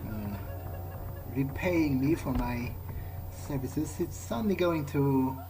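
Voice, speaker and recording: A man giving in-character video game commentary, thinking aloud in a halting, musing way. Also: medium pitch, expressive, noisy background. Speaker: gamer doing an in-character playthrough. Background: game music.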